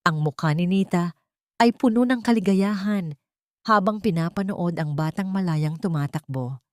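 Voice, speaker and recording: A woman's voice: fairly low pitch, moderate pace, dry recording. A woman with a Filipino accent reading a scripted dramatic story as narrator.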